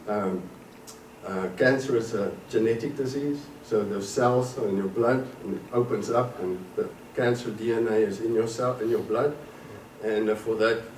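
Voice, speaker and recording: A middle-aged man presenting his startup's product at a conference panel, clearing his throat often between filler sounds. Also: mid-range voice, a little mellow. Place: indoor conference room. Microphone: podium microphone. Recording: roomy hall, mic from a distance, faint hum.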